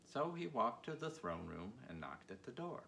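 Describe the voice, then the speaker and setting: A man's voice: mid-range voice, big pitch swings, moderate pace, pushed and bright. A man reading a children's storybook aloud as narrator. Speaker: audiobook reader. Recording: clear background noise.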